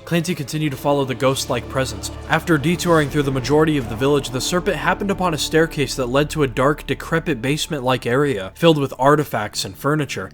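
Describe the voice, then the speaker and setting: A man's voice: medium pitch, a bit bright. A man narrating a scripted fantasy story in a dramatized audiobook style.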